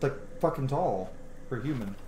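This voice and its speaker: A man's voice: medium pitch, animated, normal speed, dark tone, slight background noise. A man, giving casual, enthusiastic, sweary commentary while streaming a game. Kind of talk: casual let's play commentary. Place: small room at home, indoors. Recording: roomy home mic over game music.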